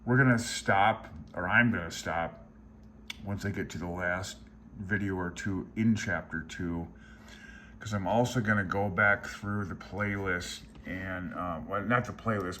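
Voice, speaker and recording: A low-pitched man rambles casually to his viewers in a folksy, self-deprecating way. He sounds like a hobbyist hosting his own small channel. There is noticeable background noise around his voice. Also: a bit bright. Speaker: hobbyist radio channel host. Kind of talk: casual rambling vlog talk.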